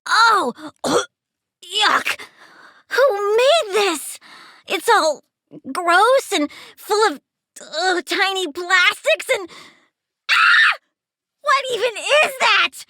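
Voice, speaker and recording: A woman's voice: very high voice, sharp tone, no room sound, studio quality, exaggerated voice. A woman voicing a young girl plant villain in a video game, cutesy and petulant with a gleefully sinister manner. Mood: disgusted, pouty.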